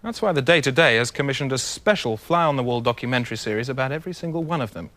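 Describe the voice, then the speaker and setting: A man's voice: mid-range voice, expressive, quick pace, sharp tone. A man playing a brash, self-important TV news anchor in a spoof bulletin. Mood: brisk, self-important. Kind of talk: news presenting parody.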